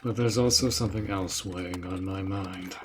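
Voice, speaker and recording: A man playing a dutiful, protective orc general in a roleplay audio drama, speaking in a measured, earnest way with a tender side. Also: low-pitched, fairly even intonation, background noise, close to an everyday voice. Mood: wistful, tender. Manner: slow, measured, earnest, with sighs.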